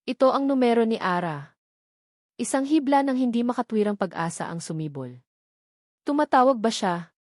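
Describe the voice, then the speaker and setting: A woman's voice: a little high, animated, moderate pace, long pauses, a little mellow, dry recording, clean studio sound. A woman giving a scripted, dramatic narration of a romance story.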